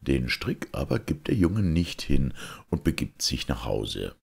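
A male audiobook reader tells a fairy tale in an extremely deep, husky voice. His scripted narration is expressive, with lively rises and falls. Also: measured pace.

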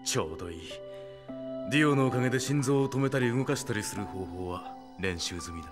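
An actor playing a stoic, gruff young tough hero in a Japanese audio drama, terse, deadpan and menacing. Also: male voice, medium pitch, brisk, lightly acted. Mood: cool, dry. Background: dramatic music.

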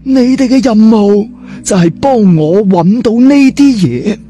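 A man playing a slow, high-voiced sly boss. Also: expressive, warm tone, faint music behind. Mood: secretive, serious. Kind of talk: audiobook character dialogue.

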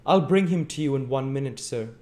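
A man's voice: expressive, brisk, mellow, close to an everyday voice. A man voicing a harsh storybook matron of a poorhouse, who scolds sharply and fawns on her betters. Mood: fawning. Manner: shrill scolding, obsequious to superiors. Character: harsh, scolding, fawning woman running a poorhouse.

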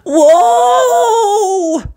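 A storybook narrator voicing an excitable, cheeky young male steam engine. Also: extremely high pitch, very slow, pitched above the performer's own voice, heavily acted. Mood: alarmed.

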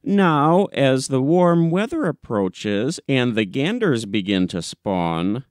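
A man's voice: medium pitch, big pitch swings, slow pace, no room sound, clean studio sound. A man narrating a classic humorous short story as an audiobook reader, in a scripted storytelling style.

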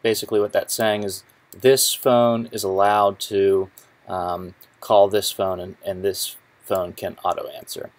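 A young man presenting a casual tech tutorial in an informal, halting way, often correcting himself. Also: lowish voice, level tone. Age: young adult. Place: small office cubicle indoors, then screen recording voice-over.

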